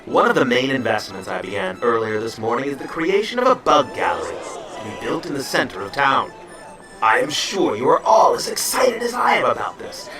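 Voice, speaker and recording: A man's voice: medium pitch, big pitch swings, a little fast, lightly acted. Man acting a pompous mayor in echoey distance. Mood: self-satisfied. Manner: pompous, formal, theatrical. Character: pompous, theatrical small-town male mayor. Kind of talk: amateur audio drama, public speech. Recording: echoey, distant, with music behind.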